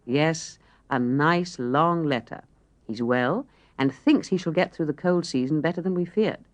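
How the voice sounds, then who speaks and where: A woman's voice: low-pitched, unhurried. A woman voicing a cheery, affectionate middle-aged mother in an audiobook. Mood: reassuring.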